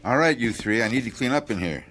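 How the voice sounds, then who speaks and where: A man acting a gruff, condescending character who mutters to himself in a radio drama. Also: mid-range voice, lively intonation, quick pace, lightly acted. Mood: brusque. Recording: music behind the voice.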